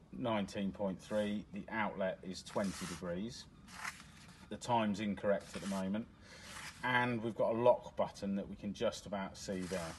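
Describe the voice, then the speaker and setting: A man's voice: fairly low pitch, poor audio. A man walking through a device's controls step by step, explaining in a plain, matter-of-fact way while he presses the buttons. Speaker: product demonstrator. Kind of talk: hands-on product walkthrough. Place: indoors at a table with a demo unit. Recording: noticeable background noise.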